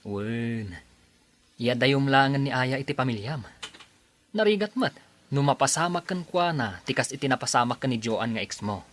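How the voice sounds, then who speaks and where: An actor playing a supportive male friend who gives calm advice in a radio drama. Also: mid-range voice, normal speed, close to an everyday voice. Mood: advising.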